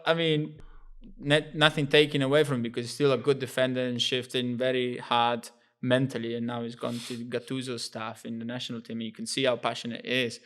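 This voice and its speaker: A man in his thirties with an Italian accent, chatting as a podcast guest in slightly broken English, relaxed and laughing often. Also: mid-range voice, clean tone. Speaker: guest footballer. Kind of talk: casual podcast chat.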